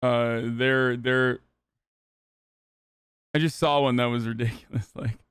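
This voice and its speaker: A man's voice: mid-range voice, fairly even intonation, slow, fairly bright tone, clean tone, no echo, studio quality. A young man from Turkey doing casual livestream commentary, ranting loosely and swearing for emphasis. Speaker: streamer reacting to a video.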